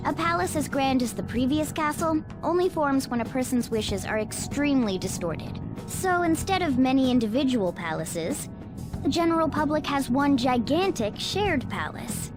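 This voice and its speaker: A small know-it-all cat mentor from a video game speaks in a high voice. The delivery is commanding and instructive, with clear background music underneath. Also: female voice, very animated, moderate pace. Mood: explaining. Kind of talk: English video game dub voice acting.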